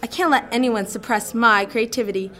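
A woman's voice: fairly high pitch, a little fast, bright, forceful tone. A woman voicing a gushing, dreamy teenage girl in a radio drama, full of "like" and "you know.".

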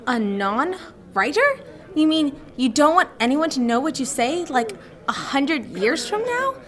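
A woman's voice: sits high, sing-song, unhurried, a bit bright, exaggerated voice. A woman voicing a gushing, dreamy teenage girl in a radio drama, full of "like" and "you know.".

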